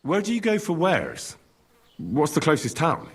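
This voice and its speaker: Earnest, husky young smith. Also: male voice, on the high side, huge pitch swings, unhurried, full character voice. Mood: inquisitive. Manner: plain, inquisitive, polite. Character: earnest, eager young blacksmith's son seeking work. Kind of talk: video game character acting.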